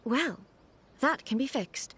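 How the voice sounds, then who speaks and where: An actress voicing a poised, imperious female shogun with formal, measured diction for a video game. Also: high voice, animated, fairly bright tone, exaggerated voice. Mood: earnest, curious. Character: poised, imperious female shogun ruler with a curious sweet tooth.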